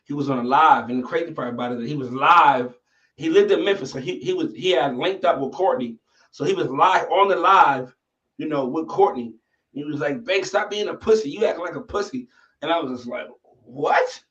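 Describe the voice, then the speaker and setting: A man's voice: a little high, normal speed, fairly bright tone, distant mic. A man in his thirties hosting a casual conversation, loose and rambling, full of filler phrases. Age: 30s. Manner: loose, rambling, lots of you know what I'm saying. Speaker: podcast host. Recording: dull lo-fi sound, off-mic.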